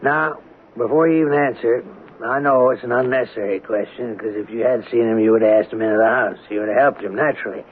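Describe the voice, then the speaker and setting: A plain-spoken American country sheriff, acted by a man, speaks in a middle-pitched voice with rural turns of phrase. He is apologetic but keeps probing, in old radio drama style. The sound is thin and boxed-in, with light hiss. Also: fast, lightly acted. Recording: narrow, noisy old radio broadcast.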